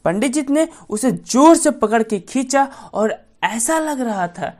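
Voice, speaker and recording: A woman's voice: fairly high pitch, lively intonation, measured pace, a little mellow. A woman storyteller narrating a bedtime folk tale for children.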